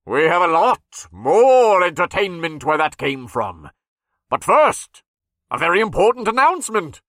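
A portly old circus ringmaster calls out in a bright, forceful voice. His pitch is raised and swings dramatically. He is a theatrical storybook showman who loves a grand pause. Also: sing-song, no room sound, clean studio sound, exaggerated voice. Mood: showy, booming. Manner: loud, theatrical, drawn-out announcing with dramatic pauses. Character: big-bellied old male circus ringmaster, booming showman.

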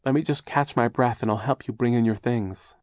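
A woman's voice: a little fast, a little mellow, no room sound, studio quality. A man voicing a fussy, fearful older woman guardian who frets and corrects grammar. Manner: high, fretful, scolding. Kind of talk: audiobook character voice.

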